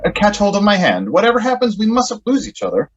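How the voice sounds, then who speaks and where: Man playing a husky, reassuring young sweetheart. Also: sits high, huge pitch swings, fast, heavily acted. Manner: coaxing, old-fashioned endearments. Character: reassuring, patient young sweetheart keeping his companion calm. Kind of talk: stage-like radio drama acting. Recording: dull, muffled lo-fi audio.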